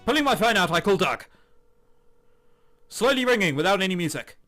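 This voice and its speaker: A man's voice: high-pitched, very animated, fairly quick, bright, forceful tone, faint music behind. A man hosting a gaming channel, reading a visual novel aloud in a theatrical, jokey way with ad-libbed commentary.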